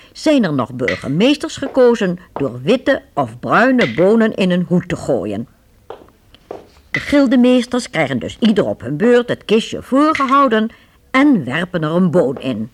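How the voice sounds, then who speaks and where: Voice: medium pitch, breathy edge, heavily acted. An actor narrating a radio play as a storyteller, explaining history in a didactic question-and-answer manner. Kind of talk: scripted radio drama narration.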